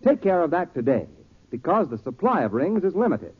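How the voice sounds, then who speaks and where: A man's voice: a little high, sing-song, brisk, husky. A man working as a 1940s radio announcer, reading an advertisement with emphatic, theatrical salesmanship. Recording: thin, tinny 1940s radio recording.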